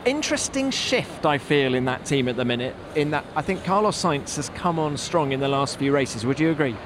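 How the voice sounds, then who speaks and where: Higher-voiced male host over outdoor noise. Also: expressive, brisk. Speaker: podcast host and interviewer. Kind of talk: motor racing review chat and interviews. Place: outdoors in a race paddock. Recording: clear outdoor background noise. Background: outdoor urban and vehicle noise.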